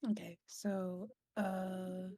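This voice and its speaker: A woman's voice: fairly low pitch, level tone, extremely slow, vocal fry, mellow, dry recording, studio quality. A woman chatting casually as a player in a tabletop roleplaying game.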